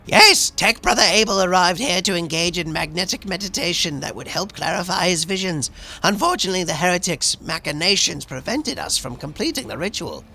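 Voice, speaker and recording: A man's voice: high-pitched, lively intonation, a little fast, creaky, bright, forceful tone, pitched above the performer's own voice, heavily acted. A man voicing a solemn, zealous cyborg priest in a video game, speaking in a formal, ceremonious, preachy manner. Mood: reverent. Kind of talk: theatrical video game dialogue.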